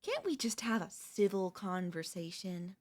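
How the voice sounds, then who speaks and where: Woman acting as female zombie, talkative, wheedling, cheerfully reasonable, medium pitch, comedic audio roleplay. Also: very animated, unhurried, darkish tone. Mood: coaxing, reasonable. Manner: conversational, coaxing, wheedling. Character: chatty, cheerfully persuasive female zombie craving human flesh.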